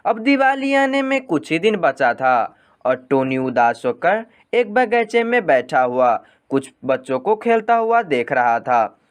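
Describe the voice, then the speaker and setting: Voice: medium pitch, very animated, a little slow, clean tone. A storyteller reading a scripted moral story for children.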